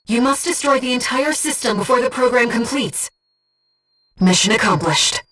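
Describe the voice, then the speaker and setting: A woman voicing a calm, devoted, disembodied female guide in a video game, speaking softly and precisely as she supports a pilot on missions. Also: on the high side, far from the mic. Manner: measured, precise, earnest. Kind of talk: video game voice acting, tactical briefings.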